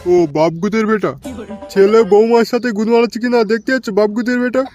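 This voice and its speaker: A man's voice: high-pitched, steady tone, quick pace, music in the background, close to an everyday voice. A man voicing a crude, grumbling bald old villager in an amateur comedy cartoon. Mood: irritated. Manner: coarse, complaining.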